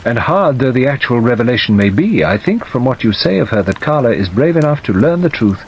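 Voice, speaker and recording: A male narrator plays a stern older woman from a classic mystery audiobook. She is brisk, dry and precise, with firm, animated swings of pitch. Steady rain runs under the reading. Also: slight background noise, poor audio. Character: stern, forthright older woman, a former governess, dry and unsentimental. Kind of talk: audiobook character dialogue.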